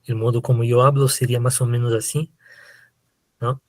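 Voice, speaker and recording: A man from Brazil with a Brazilian Portuguese accent, giving an online lecture in a slow, hesitant way, full of fillers and tag questions. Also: medium pitch, flat delivery, darkish tone, dry recording. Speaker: literature lecturer. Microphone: computer mic on a video call.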